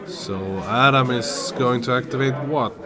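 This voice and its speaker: A man's voice: medium pitch, fairly even intonation, measured pace, over music. A man giving casual, conversational live commentary on a tabletop wargame. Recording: loud crowd chatter behind the voice. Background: busy gaming event crowd.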